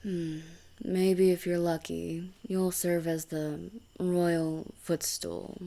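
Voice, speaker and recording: Slow, gravelly female assassin, acted by a woman. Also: fairly low pitch, little pitch movement, warm tone. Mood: taunting. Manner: mocking, unhurried, breathy chuckles. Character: smug, taunting female assassin, coolly seductive villain. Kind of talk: fantasy audio roleplay acting.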